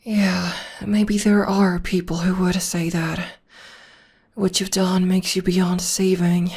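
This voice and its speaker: A woman voicing a breathy, soft-spoken witch girlfriend. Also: fairly low pitch, little pitch movement, takes it slowly, heavily acted. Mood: tender, soothing. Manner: soft, soothing, often whispering. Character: gentle devoted young witch girlfriend. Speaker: voice actor. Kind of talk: ASMR comfort roleplay.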